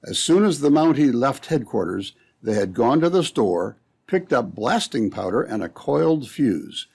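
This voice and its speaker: A man's voice: mid-range voice, vocal fry, darkish tone, breathy edge. A man narrating an old-time radio adventure from a script, as the storyteller between scenes.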